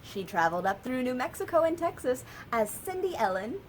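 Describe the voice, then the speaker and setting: An American woman, a children's librarian, telling a story and sharing books for kids in a lively, sing-song way. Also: high voice, fairly quick, slight background noise.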